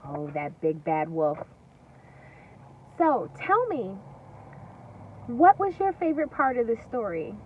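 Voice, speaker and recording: A woman teacher reading a story aloud to young children, expressive and deliberate, pausing to explain and ask questions. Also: on the high side. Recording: distant, dull lo-fi recording with faint noise.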